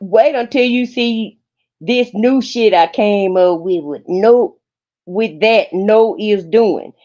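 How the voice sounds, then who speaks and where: A woman's voice: mid-range voice, takes it slowly, dark tone, no room sound. A woman co-hosting a livestream, casually performing a reading of text messages, on lo-fi audio. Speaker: livestream co-host. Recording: dull, lo-fi close livestream audio.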